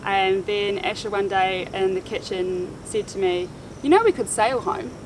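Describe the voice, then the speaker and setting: A woman's voice: medium pitch, unhurried, noisy background. Chatty New Zealand woman in her thirties. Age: 30s. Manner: chatty with frequent ums. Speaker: sailing vlogger. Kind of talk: casual chat. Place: outdoors on a boat in Panama.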